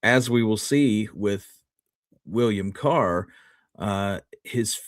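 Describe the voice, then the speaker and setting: Low-voiced man, halting conversational historian. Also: no room sound, studio quality. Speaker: history show co-host. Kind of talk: casual storytelling discussion.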